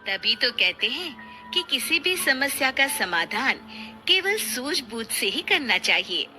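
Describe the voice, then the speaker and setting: A woman's voice: sits high, sing-song, takes it slowly. A woman narrating a scripted moral story as a storyteller. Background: music.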